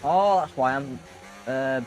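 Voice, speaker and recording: A man's voice: medium pitch, slow, darkish tone. A man casually commentating as he plays a racing game and gives it voice commands. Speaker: gamer playing a racing video game. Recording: noisy mic with hum.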